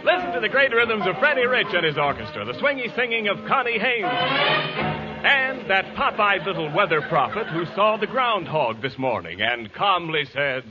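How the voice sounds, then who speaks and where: A male American announcer reads a scripted 1940s radio introduction. His voice is high and animated. Loud music plays behind him on a muffled old broadcast. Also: moderate pace.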